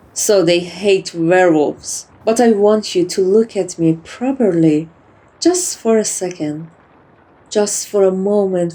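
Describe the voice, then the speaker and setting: Casting: woman as female vampire for ASMR roleplay, soft-spoken and unhurried, kindly dominant, flirty, dotes on the listener. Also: lowish voice, low-quality recording. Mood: soft, serious. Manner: soft-spoken, teasing, cooing, addresses listener like a pet.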